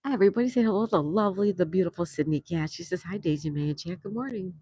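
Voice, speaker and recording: A woman's voice: on the low side, fast talker, mellow, husky, no echo. Chatty older woman hosting a live chat. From New York. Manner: chatty, reads chat messages aloud, laughs often. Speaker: livestream host. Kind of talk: casual live chat reading comments. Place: outdoor patio.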